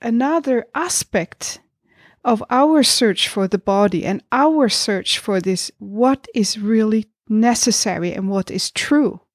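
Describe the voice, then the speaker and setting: A woman co-hosting a reflective intellectual discussion, speaking deliberately with pauses between her phrases. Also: a little high, no room sound, clean studio sound.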